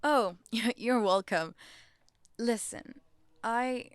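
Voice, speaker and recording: Woman acting a timid young wife, unhurried delivery, hesitant, dry close sound, audio drama. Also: on the high side, clear, resonant tone, no room sound. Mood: timid, friendly. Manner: hesitant, halting. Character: John's distressed, timid wife, also as a teenage girl.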